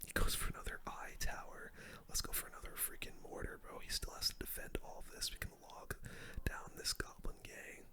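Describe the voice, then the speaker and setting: A game streamer whispering casual, slangy commentary in an ASMR style. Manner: whispering throughout; casual, slangy gamer talk. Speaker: gaming video host.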